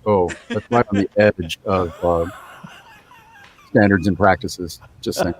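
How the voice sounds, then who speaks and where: A man's voice: sits low, a little slow, a little hiss or hum. A man, a podcast guest, chiming in with short, wry remarks. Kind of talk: podcast interview.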